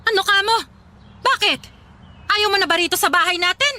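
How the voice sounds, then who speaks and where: A woman's voice: very high-pitched, brisk, noisy background. A woman playing a harsh, domineering Filipino mother in a radio drama, nagging and scornful. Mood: incredulous, scornful. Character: harsh, scolding, domineering poor mother of grown son.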